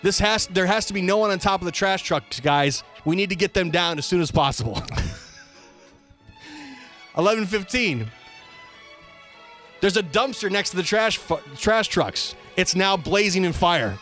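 High-voiced male radio host over music. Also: lively intonation, moderate pace, pauses often, fairly bright tone. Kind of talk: reading police dispatch quotes aloud on a comedy-tinged talk show. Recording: music bed behind the voice.

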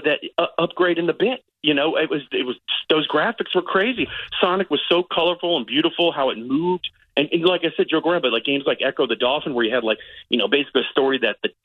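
Fast-talking man chatting on radio. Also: on the high side, no echo, phone-quality sound. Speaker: film critic guest on a radio show. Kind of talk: casual radio movie review chat.

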